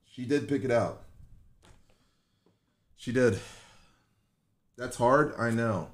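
A man's voice: medium pitch, slow. An American man in his twenties chatting casually on a live stream, joking and teasing in slangy speech. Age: 20s. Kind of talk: casual chat with viewers and partner. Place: small bedroom, indoors.

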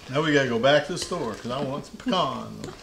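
A man's voice: medium pitch, lively intonation, fairly quick, vocal fry, a bit bright, a little hiss or hum. A man, the host's husband, helping in the kitchen and dropping dry joking one-liners. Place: home kitchen, indoors.

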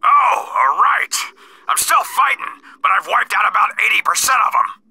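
High, harsh, raspy soldier henchman. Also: animated, moderate pace, pushed and bright, dry recording, exaggerated voice. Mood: battle-eager. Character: rough alien soldier, loud deferential henchman. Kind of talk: animated video game voice acting.

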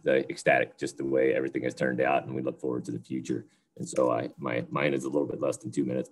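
A man's voice: rumbling low, a little mellow. A man speaking as a company chief scientist on a video call, casual and stumbling, repeating himself. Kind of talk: webinar remarks.

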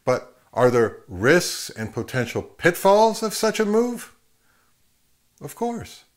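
A middle-aged man hosting an advice vlog, speaking to camera in an emphatic way with deliberate pauses. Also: sits high, big pitch swings. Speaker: host of an audio gear channel. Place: home listening room, later an open grassy field.

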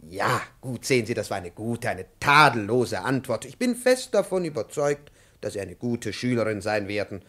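An actor playing a timid, over-polite elderly professor in a 1950s radio play, halting and profusely courteous. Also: male voice, medium pitch, sing-song, fairly bright tone. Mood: effusive, encouraging. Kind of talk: 1950s German-language radio play acting. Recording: 1950s radio drama recording.

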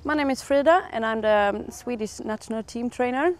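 A woman's voice: on the high side, brisk. Cheerful woman trainer, short answers. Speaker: national team trainer. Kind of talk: interview.

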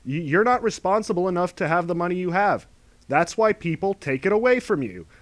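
A man's voice: sits high, lively intonation, brisk, dry recording. Scornful man ranting in voice-over. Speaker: video essay narrator. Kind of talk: scripted commentary narration.

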